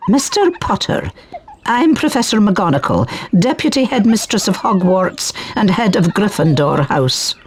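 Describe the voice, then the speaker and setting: An actress playing a stern but fair older deputy headmistress, formal and precise, in a video game. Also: female voice, medium pitch, very animated, unhurried. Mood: stern, approving. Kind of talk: video game character acting.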